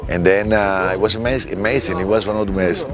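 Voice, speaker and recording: A paragliding instructor, a man, speaking in heartfelt, halting English in a documentary interview. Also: fairly low pitch, fairly even intonation, a crowd in the background.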